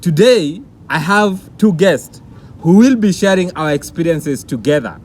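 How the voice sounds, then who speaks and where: A man's voice: on the high side, lively intonation, unhurried, slight background noise. A man hosting a vlog, presenting formally and interviewing his guests. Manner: formal, announcer-like delivery. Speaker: vlog host.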